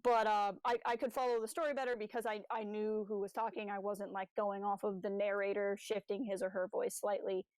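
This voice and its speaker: A woman's voice: mid-range voice, level tone, a little fast, hardly any pauses, a little mellow, dry recording, poor audio. A young adult woman co-hosting a podcast, talking informally and with some self-deprecation in casual discussion. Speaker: podcast co-host.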